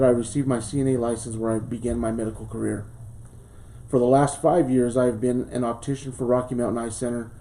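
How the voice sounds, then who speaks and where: A man's voice: medium pitch, warm tone, low-quality recording. Earnest man giving a campaign speech. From southern Colorado. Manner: earnest, formal, with pauses and ums. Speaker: school board candidate. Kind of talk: prepared campaign speech and interview answers. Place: small local studio.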